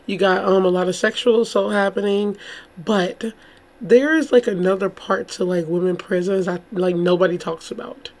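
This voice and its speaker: Female vlogger, slightly hoarse, casual and rambling, exclamatory commentary in an informal chatty style. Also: medium pitch, fairly even intonation, moderate pace, darkish tone. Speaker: YouTube true crime host.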